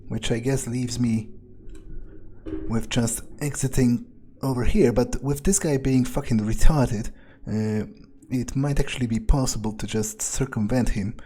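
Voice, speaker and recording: Man playing a slow-talking, cynical vampire hitman. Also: mid-range voice, steady tone, dark tone, slight background noise, lightly acted. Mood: calculating, irritated. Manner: musing, halting, foul-mouthed. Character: cynical, arrogant, cold-blooded male vampire hitman. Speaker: gamer playing in character. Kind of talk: in-character game playthrough monologue.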